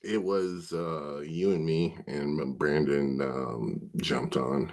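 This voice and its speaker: A man's voice: rumbling low, fairly even intonation, slow pace, darkish tone. A man hosting a casual podcast, stuffed up and tired, talking in a loose, profane, rambling way. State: congested, stuffed-up. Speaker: podcast host.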